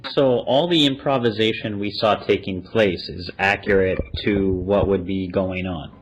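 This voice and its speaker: A man's voice: deep voice, unhurried. A man hosting a conversational interview, asking curious questions as he goes. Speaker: podcast host. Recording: dull lo-fi podcast audio.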